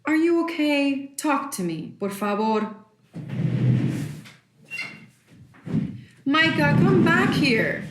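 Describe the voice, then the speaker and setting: A middle-aged mother in a roomy, echoing space, her voice a little high. She is protective and concerned toward her grown daughter. It is played as naturalistic audio drama. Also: very animated, unhurried, slight background noise. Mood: worried, urging. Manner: concerned, slips into Spanish endearments and phrases. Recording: roomy indoor echo.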